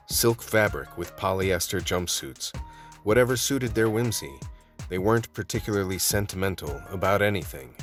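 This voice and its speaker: An actor playing a world-weary undercover vice cop, telling his story in a measured, hard-boiled way for a radio play. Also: sits low, lightly acted. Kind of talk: scripted radio play narration.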